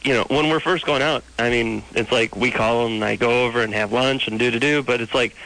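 A man's voice: medium pitch, steady tone, fairly quick, pushed and bright, low-quality recording. A man in his twenties calling into a radio show, speaking hesitantly and rambling with many fillers. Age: 20s. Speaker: caller.

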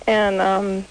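A woman calling in to a radio show, chatting casually. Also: mid-range voice. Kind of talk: casual phone-in chat. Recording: faintly noisy radio call-in audio.

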